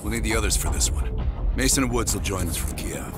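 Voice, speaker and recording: An actor voicing a blunt, profane commando boss. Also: male voice, fairly low pitch, expressive, brisk, loud music behind the voice, close to an everyday voice. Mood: commanding. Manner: curt, commanding orders. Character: hard-bitten male commander, blunt, profane. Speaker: special forces commander.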